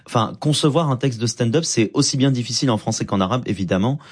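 A man, a stand-up comedian, talking in an interview in a lively, flamboyant way with quick asides. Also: medium pitch, husky. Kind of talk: conversational interview talk.

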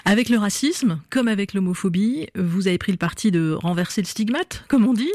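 A woman hosting an interview, measured and hesitant, with frequent fillers. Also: mid-range voice, expressive, darkish tone, no echo, clean studio sound. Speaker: interviewer host. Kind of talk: radio or TV interview.

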